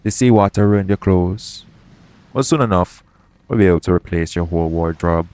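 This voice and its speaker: Man acting a low-voiced roguish pirate captain. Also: moderate pace, darkish tone, slight background noise, natural voice. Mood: gently practical. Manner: colloquial seafarer's dialect, speaking tenderly to one listener. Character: roguish pirate captain, protective and gently reassuring. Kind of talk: intimate audio roleplay acting.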